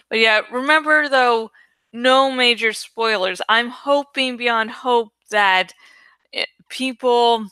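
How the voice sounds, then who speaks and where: A woman with a bright, fairly high voice talks casually to her audience as a channel host. She takes her time, with playful, self-mocking asides and the odd swear word. The sound is close and dry. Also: takes it slowly, clean tone, no echo. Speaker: host of a dramatic book-reading channel. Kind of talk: casual chatty outro addressed to viewers.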